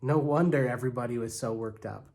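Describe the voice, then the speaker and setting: Animated man reading a storybook. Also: medium pitch, brisk, gravelly. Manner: expressive, acts out characters' lines. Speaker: church staff storyteller.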